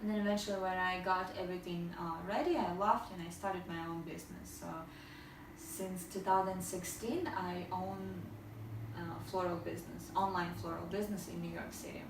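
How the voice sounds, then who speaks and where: A woman's voice: lowish voice, steady tone, normal speed, noisy background. A woman from Ukraine, a guest chatting casually and thoughtfully about her work and life. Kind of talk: casual interview chat. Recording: echoey room, voice from far off.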